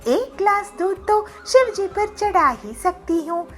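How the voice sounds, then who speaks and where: Lady doing a tiny high voice for a poor devout girl bird, super earnest n humble, lots of lilt, kids cartoon. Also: expressive, unhurried, faint music behind. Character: poor, devout, selfless young female bird milk seller. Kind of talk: Hindi children's moral cartoon acting.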